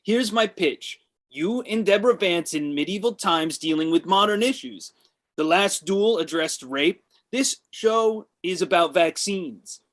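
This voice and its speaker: A man's voice: high voice, a little slow, fairly bright tone. A man playing a pushy, deluded washed-up actor with an earnest, self-important manner in a comedy table read. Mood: eager, pitching. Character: Drama, pushy deluded male washed-up actor pitching ideas.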